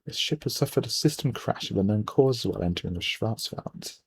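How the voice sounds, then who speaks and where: A man's voice: fairly low pitch, expressive, brisk, creaky, husky. A man giving casual, rambling game commentary, musing aloud, often trailing off and reading bits of on-screen text. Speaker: let's player, gaming video host. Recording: noisy home microphone, game music behind. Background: video game music.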